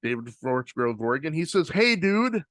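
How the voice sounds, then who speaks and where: A man's voice: a little high, very animated, a little fast, fairly bright tone, no echo, studio quality. A man hosting a podcast and reading listener mail in casual chat, rambling with frequent fillers and restarts. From US Pacific Northwest. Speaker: podcast host. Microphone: close studio microphone.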